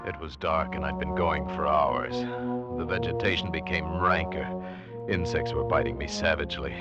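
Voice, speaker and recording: Hunted narrator, very low pitch, intense, dramatic, desperate survivor, 1940s radio thriller, dull vintage recording. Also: male voice, fairly even intonation, normal speed. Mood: weary, tense. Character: grim hunted man recounting his desperate survival. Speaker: narrator and protagonist. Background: dramatic music.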